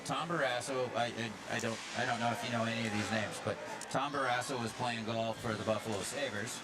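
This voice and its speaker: A man's voice: mid-range voice, steady tone, brisk, very noisy. A man giving casual color commentary at an ice hockey game, telling rambling stories full of fillers and restarts. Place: ice rink. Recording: loud rink ambience behind.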